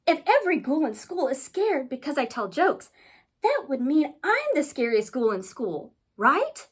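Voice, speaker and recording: Giggly ghoul schoolgirl comedian, read by a woman: high, lively swoops, playful punchlines, storybook style. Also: unhurried. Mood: gleeful. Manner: punchy, playful joke delivery. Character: joke-cracking, giggly young girl ghoul, cheeky schoolkid comedian.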